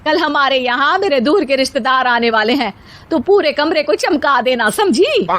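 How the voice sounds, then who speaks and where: Woman acting a bossy, high-voiced mother-in-law. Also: expressive, normal speed, a bit bright. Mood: bossy, calling out. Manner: commanding, scolding, theatrical. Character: bossy, exasperated mother-in-law scolding her daughters-in-law. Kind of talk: cartoon story character acting.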